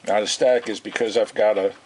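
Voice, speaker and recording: A man giving a casual, unscripted demonstration as a video host, speaking in a halting, rambling way. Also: lowish voice, steady tone. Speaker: video host demonstrating vintage radio repair. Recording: hissy, noisy amateur home video.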